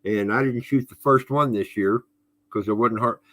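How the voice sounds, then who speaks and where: Bright-voiced folksy middle-aged man. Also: mid-range voice, moderate pace. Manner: friendly, greets viewers by name, folksy. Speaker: podcast co-host. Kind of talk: casual livestream chat.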